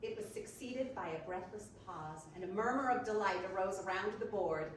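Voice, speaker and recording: A woman reads storybook narration aloud at a medium pitch. She is some way from the mic in a very echoey room with faint noise. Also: moderate pace, far from the mic. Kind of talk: scripted storybook narration for readers theater.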